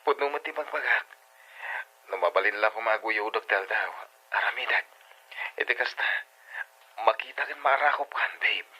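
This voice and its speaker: Bright forceful young guy, sweet-talking boyfriend in a radio drama. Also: fairly low pitch, moderate pace, pauses often, slightly rough, exaggerated voice, rough recording. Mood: wooing. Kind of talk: Ilocano radio drama acting.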